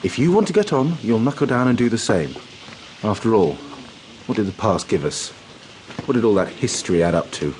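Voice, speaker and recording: A man's voice: fairly low pitch, very animated, brisk, darkish tone, slight background noise, poor audio. A man acting in a radio drama as a brash, complacent pleasure-seeker, talking bluntly and flippantly in natural dialogue. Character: brash, complacent, pleasure-seeking male colleague.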